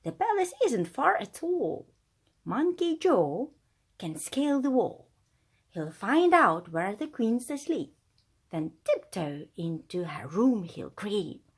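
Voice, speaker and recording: A woman voicing gleeful, sly male thieves in a children's storybook, husky and conspiratorial. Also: medium pitch, sing-song, slow pace, heavily acted. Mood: scheming.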